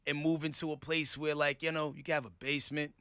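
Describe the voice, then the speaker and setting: A man hosting a radio interview, playful and asking quick questions with slang. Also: a little high, level tone, pushed and bright, clear, resonant tone, no room sound. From the Bronx, New York. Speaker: radio show host. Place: radio studio. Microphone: studio microphone.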